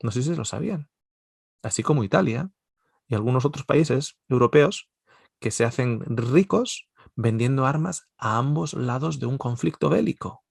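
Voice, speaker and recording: Middle-aged Spanish-accented man, seminar speaker, gravelly voice, dry close sound, lively and rambling. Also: medium pitch, moderate pace, dry recording. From Spain, Basque Country. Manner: animated, digressive, many restarts and stammers. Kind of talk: religious seminar talk.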